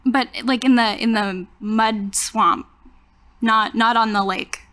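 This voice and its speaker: A woman casually improvising as a player in a tabletop roleplaying game, speaking haltingly. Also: fairly high pitch, fairly even intonation, fairly bright tone.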